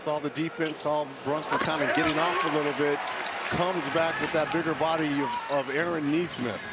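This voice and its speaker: A man's voice: a little high, steady tone, moderate pace. A man giving live television basketball analysis as a color commentator. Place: basketball arena. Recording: loud arena crowd and court noise. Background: roaring crowd, bouncing ball.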